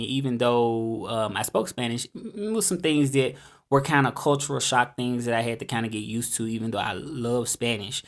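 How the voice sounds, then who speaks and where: Southern man vlogging in a relaxed ramble. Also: medium pitch. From United States. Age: 30s. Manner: rambling, many fillers and restarts. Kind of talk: casual monologue to camera. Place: home in Mexico, dogs barking outside.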